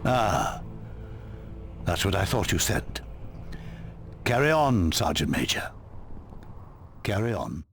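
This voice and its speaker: A dry, understated army commander. His voice sits a little low but moves freely up and down. A game score plays behind him. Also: big pitch swings, slow pace, creaky, pauses often, faint music behind, full character voice. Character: dry-witted male military commander. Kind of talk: video game cutscene acting.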